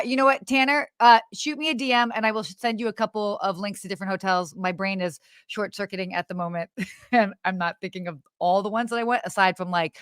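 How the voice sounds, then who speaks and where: A woman co-hosting a podcast in casual live chat, with an animated, giggly, exclamatory way of talking. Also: mid-range voice, dry recording, studio quality. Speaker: podcast co-host.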